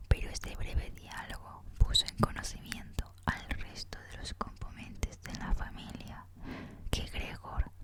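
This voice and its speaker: A woman reads a book aloud in ASMR style, in a breathy, unvoiced whisper with a soft, unhurried manner. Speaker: reader, host of a reading series.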